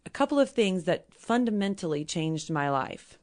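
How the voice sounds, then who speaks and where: A hoarse woman with a Midwestern American accent, a company president giving earnest explanations as a guest in a casual interview. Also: on the low side, sing-song, moderate pace, a little mellow. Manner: earnest, explains with everyday examples.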